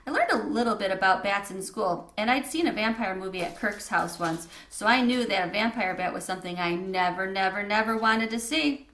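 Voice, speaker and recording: A woman narrating a children's storybook aloud with expressive reading. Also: medium pitch, fairly quick. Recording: echoey room.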